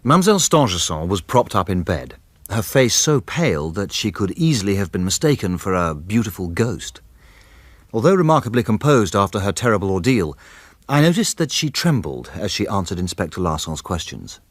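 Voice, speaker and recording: An actor playing a refined, observant gentleman who narrates a radio detective drama in a measured, literary manner. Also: fairly low pitch, big pitch swings, husky, no echo. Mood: grave.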